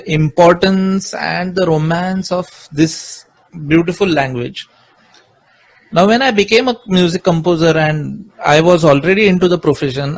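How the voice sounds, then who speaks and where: A middle-aged Indian man with an Indian English accent, a Marathi speaker and music composer, answering interview questions with many pauses and restarts. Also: high voice, creaky, poor audio. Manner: hesitant, many fillers and restarts.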